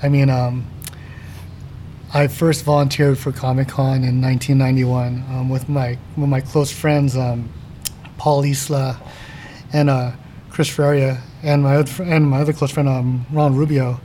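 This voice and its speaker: A man in his forties talks in a loose, friendly way as a podcast guest, drifting into laughs and filler words. His voice sits in an ordinary middle pitch. Faint background noise from a cafe is heard. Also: moderate pace. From San Diego, California. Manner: relaxed, laughs often, trails off with ums. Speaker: guest artist. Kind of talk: casual podcast chat. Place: comics shop cafe.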